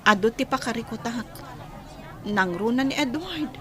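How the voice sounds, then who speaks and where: Actress as a high-voiced, stressed working mother. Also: expressive, normal speed, some chatter behind, natural voice. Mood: weary. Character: stressed, exasperated young working mother and wife. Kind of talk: Ilocano radio drama acting.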